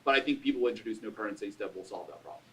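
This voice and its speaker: A man's voice: lowish voice, racing, bright, forceful tone, a little hiss or hum. A man on a panel giving his views in a casual way, with lots of "like" fillers. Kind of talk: panel discussion. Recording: distant room mic.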